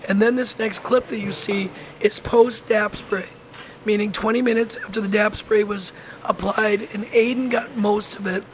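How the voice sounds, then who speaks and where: A wolf center educator narrates in an informal voice-over, their voice rough and nearly gone. The delivery is halting and full of ums and false starts, with little rise and fall in pitch. A faint hiss sits under the voice. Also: steady tone, poor audio. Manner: halting, frequent ums and restarts. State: hoarse, losing voice.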